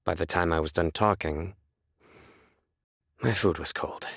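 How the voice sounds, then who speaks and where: An actor playing a stern, composed young male judge in a video game, speaking in a measured, serious, deadpan way. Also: low voice, gravelly, dry recording, clean studio sound, full character voice. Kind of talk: scripted game character voice lines.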